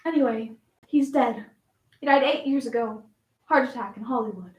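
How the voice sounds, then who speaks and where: A woman's voice: on the high side, moderate pace, lightly acted. A woman playing a flamboyant, wistful Southern belle flapper in a theatrical stage monologue. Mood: guarded, brittle. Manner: dramatic, swinging from coy reminiscence to defiant outbursts. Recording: roomy echo, distant microphone.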